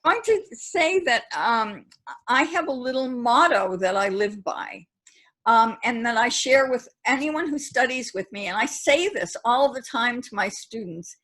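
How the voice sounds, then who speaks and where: A woman's voice: fairly high pitch, animated, a bit bright. A woman poet hosting an online reading panel over a video call, speaking earnestly and reflectively with frequent restarts. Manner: earnest, halting with many restarts. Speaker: poet and event host.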